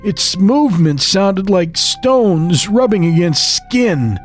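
A man's voice: sits high, lively intonation, slow pace, faint music behind, pitched above the performer's own voice. A man voicing a frail, rambling old grandfather who tells a horror story in the first person. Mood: eerie storytelling.

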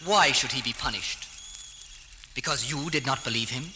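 An actor playing a proud, fair-minded young prince, regal and declamatory, in an old-time radio drama. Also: male voice, medium pitch, moderate pace, bright, forceful tone. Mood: commanding. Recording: noisy old radio recording, long reverb.

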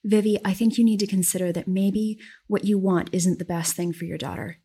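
Woman reading as a firm, gentle older sister. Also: medium pitch, fairly even intonation, fairly quick, slightly rough.

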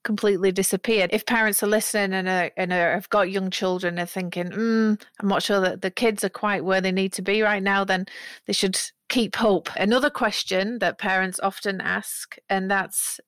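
A woman's voice: medium pitch, fairly even intonation, moderate pace, fairly bright tone, dry recording. A woman hosting an interview in a conversational style, putting questions to a guest. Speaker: interview host.

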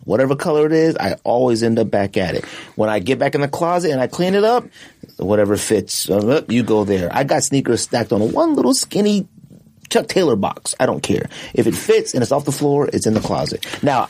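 A man's voice: mid-range voice, a little mellow. A middle-aged man co-hosting a casual podcast, answering listener questions in a laid-back, joking way, with slang like "fam". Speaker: podcast co-host.

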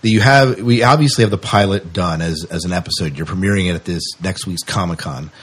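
A man with a deep, somewhat rough voice hosts a podcast interview. He talks casually, in a conversational style, as he puts questions and comments to his guest. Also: fast.

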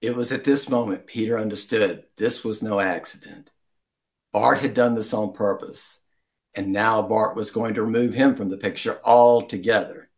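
A man reading a children's story aloud as an amateur storyteller, deliberately, with some stumbles and throat-clearing. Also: lowish voice, steady tone.

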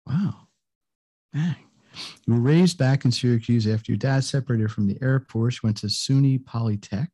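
A man's voice: mid-range voice, moderate pace, warm tone, slightly rough. An older man hosting a podcast interview in an effusive, conversational way, often repeating words for emphasis. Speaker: podcast host.

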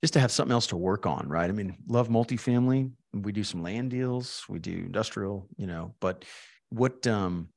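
A man's voice: fairly low pitch, normal speed, creaky, darkish tone. A man hosting a podcast, chatting casually and informally with a guest like an old friend. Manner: informal, chummy. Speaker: podcast host interviewing a guest.

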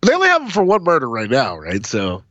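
A man with a slight cold hosting a podcast, talking casually with a lively, bouncing pitch. His voice sits mid-range and is recorded dry and close, with no room sound. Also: fast, dry recording, muffled recording. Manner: relaxed, conversational, lively intonation. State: has a cold, rough voice. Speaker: podcast co-host. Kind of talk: casual chat.

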